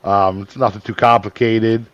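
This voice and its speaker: A man's voice: on the low side, fairly even intonation, moderate pace, no room sound, lo-fi sound. A man hosting a livestream in a casual, chatty style. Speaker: livestream show host. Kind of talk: casual chat.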